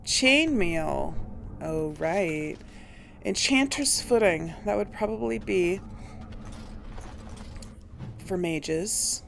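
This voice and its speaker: A woman gives casual game commentary at an unhurried pace, musing to herself as she goes. Her voice has a frequent creaky edge, and there is some noise behind her. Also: on the low side, lively intonation, fairly bright tone. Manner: thinking aloud, musing to herself. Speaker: host of a game playthrough series.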